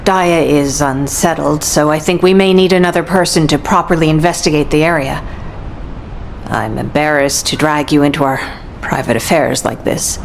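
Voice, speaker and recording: An actress voicing a caring middle-aged mother, a former medical corps captain, speaking gently and pleadingly in a game cutscene. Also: low voice, steady tone, normal speed, creaky, a little hiss or hum.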